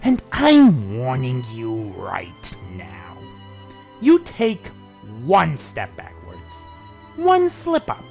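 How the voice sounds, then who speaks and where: Amateur reader as wounded, furious big-brother stallion, swooping pitch. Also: wildly swooping pitch, drawn-out words, gravelly, a little mellow, soft background music, full character voice. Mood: menacing fury. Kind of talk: amateur dramatic fan fiction reading.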